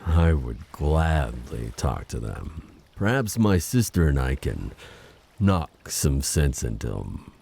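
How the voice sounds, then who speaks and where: Wounded male siren , man acting, audio roleplay: gravelly, damaged throat, swooping delivery, grim mockery. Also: very deep voice, big pitch swings, slow pace, mellow, full character voice. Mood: mocking menace. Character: vengeful wounded male siren, grimly mocking.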